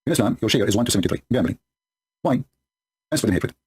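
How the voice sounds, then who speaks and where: A man's voice: fairly low pitch, lively intonation, fast talker, a little mellow, breathy edge. A man whose first language is Arabic, giving sarcastic commentary on scripture in broken English. The recording is sped up to double speed. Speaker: religious polemic commentator. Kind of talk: commentary reading and mocking scripture.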